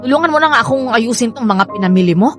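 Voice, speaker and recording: A woman's voice: on the high side, lively intonation, music in the background, close to an everyday voice. An actress playing a nagging middle-aged mother with a Filipino accent, exclamatory and scolding, in a radio drama. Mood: shocked, scolding. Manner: exclamatory, scolding, drawn-out emphasis. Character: middle-aged nagging mother, bossy, old-fashioned, meddling.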